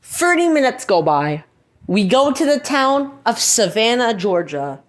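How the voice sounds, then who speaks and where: A woman's voice: a little high, big pitch swings, unhurried. A woman narrating an amateur scripted drama.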